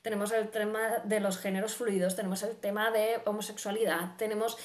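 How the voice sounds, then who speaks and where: A woman's voice: medium pitch, level tone, a little fast. A woman in her thirties with a Spain Spanish accent, giving a casual, effusive book review and repeating words for emphasis. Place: small room at home, indoors. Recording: roomy, echoing home recording.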